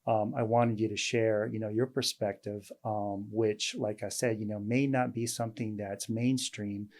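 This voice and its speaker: A man with a fairly low, mellow voice hosts a casual interview as a doctor. He talks in a halting way, full of fillers and restarts, but sounds friendly and easy. His tone stays dark and relaxed. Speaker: host, weight loss doctor.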